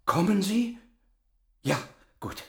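An actor playing an excitable middle-aged teacher and father in a radio play. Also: slow pace, creaky, echoey room, exaggerated voice. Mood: agitated. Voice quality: agitated, swings from calm to shrill. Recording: radio drama with music behind.

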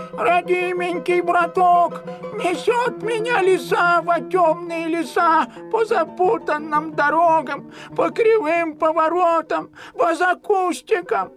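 A woman voicing a small cocky rooster in a children's audio fairy tale, in clucky chanted phrases. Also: very high-pitched. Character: small cocky male rooster, boastful and easily frightened.

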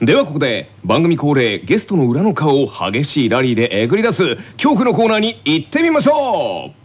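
A man's voice: a little high, huge pitch swings, a little fast, lo-fi sound. A man co-hosting a radio show, presenting segments and joining the banter.

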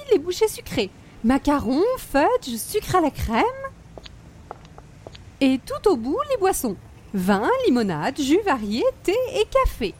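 A woman's voice: sits high, a little mellow, a little hiss or hum. A woman playing an affected, snobbish older hostess with a Quebec French accent and precious, formal diction, in radio comedy style. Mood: gracious, affected. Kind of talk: old-time radio comedy acting.

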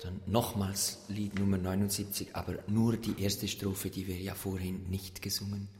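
An actor in a radio play as a dull church service leader, halting and monotone, in an echoey hall with long reverb. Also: deep voice, soft background music. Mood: solemn, halting.

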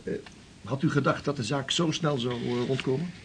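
A courteous, bereaved seafarer played by a man in a radio play. He talks haltingly in a middling pitch, subdued and polite. Faint noise sits behind the voice. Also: lightly acted. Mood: hesitant. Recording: noisy, with background ambience.

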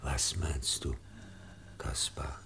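An actor playing a grave, devoted older professor in a radio play, solemn and emphatic, with a gravelly voice. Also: male voice, steady tone, drawn-out words, bright, forceful tone, whispered, exaggerated voice. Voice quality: very deep, gravelly. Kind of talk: German radio play acting. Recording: noisy old radio drama recording.

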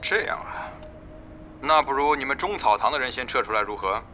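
A man plays a pragmatic, mediating guild leader in an audio drama. His voice sits in the middle of the range. Clear ambient noise surrounds it. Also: mid-range voice, lively intonation, a little fast, natural voice. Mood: measured, brisk. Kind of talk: Chinese audio drama acting.

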